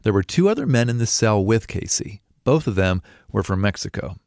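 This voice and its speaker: A man narrating a true story in a calm, measured scripted style. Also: on the low side, vocal fry, no room sound, clean studio sound.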